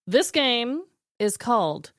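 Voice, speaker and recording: A woman hosts a podcast game with casual banter. Her voice sits a little high and swings widely in pitch. It is recorded close and dry. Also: very animated, slow, no room sound. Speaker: podcast host.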